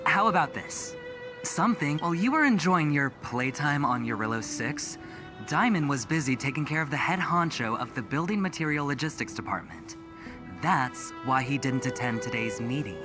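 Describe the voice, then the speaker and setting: An actor playing a smug, smooth-talking young executive with a gambler's temper in a video game, teasing and theatrical. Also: male voice, medium pitch, lively intonation, moderate pace, over music, lightly acted. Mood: needling. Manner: teasing, theatrical, self-satisfied.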